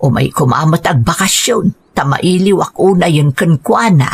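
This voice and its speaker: A woman's voice: low voice, lively intonation, normal speed, gravelly, husky, full character voice. An actress playing a caring, persuasive middle-aged family woman in a radio drama, with a Filipino accent. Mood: gentle. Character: caring, persuasive middle-aged mother and elder sister, practical. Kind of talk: Ilocano radio drama acting.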